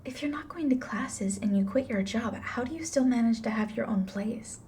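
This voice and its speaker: Blunt, low-key female grim reaper, played by a woman. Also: normal speed, a little mellow, a little hiss or hum, natural voice. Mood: curious, tactless. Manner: blunt, offhand, dry. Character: blunt, flippant female grim reaper, unsentimental but curious. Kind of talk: ASMR roleplay acting.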